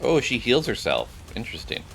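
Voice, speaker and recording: A man's voice: mid-range voice, huge pitch swings, brisk, fairly bright tone, poor audio. A man streaming a video game, giving casual, rambling commentary as he reacts aloud.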